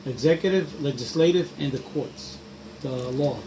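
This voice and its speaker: A man's voice: a little high, normal speed. A middle-aged man chatting casually as he answers quiz questions as a contestant. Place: home dining room, indoors. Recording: noisy room, camera across table.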